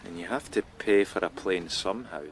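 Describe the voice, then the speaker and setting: An actor playing a dry, ruminative, world-weary older detective in a radio drama. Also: male voice, sits low, brisk, slight background noise, lightly acted. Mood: concerned.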